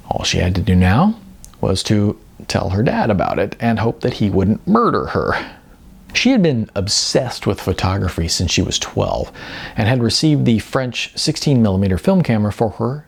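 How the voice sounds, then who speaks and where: A man who is an author reading his own novel as audiobook narration, with expressive emphasis and drawn-out words. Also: fairly low pitch, gravelly, slightly rough.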